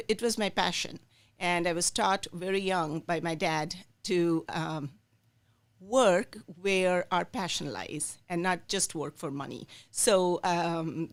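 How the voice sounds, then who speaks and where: Woman from India, middle-aged, slightly low voice, chatty guest storytelling, lots of ums. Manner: warm, storytelling with many fillers. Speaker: dietitian, podcast guest. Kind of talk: interview, telling her life story.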